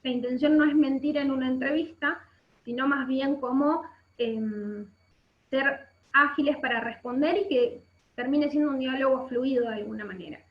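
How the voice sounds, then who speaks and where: High-voiced Argentine woman teaching online. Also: moderate pace. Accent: Argentine Spanish. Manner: explanatory, with frequent check-in questions. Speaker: workshop instructor. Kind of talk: instructional webinar talk. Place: indoor room by a window. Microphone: webcam video call. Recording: muffled, echoey video-call audio.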